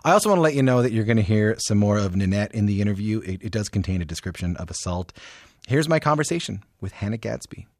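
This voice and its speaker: A man hosting an interview show in a conversational style with informal asides, his voice slightly croaky as if he is losing it. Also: on the low side, expressive, fast, dry recording, clean studio sound. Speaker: podcast and radio show host. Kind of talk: conversational scripted introduction and interviewing.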